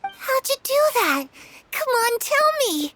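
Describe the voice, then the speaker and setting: A woman voicing the gentle, wistful and curious shadow of a young girl in a video game. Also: extremely high pitch, sing-song, slow pace, noisy background, full character voice. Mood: eager, curious.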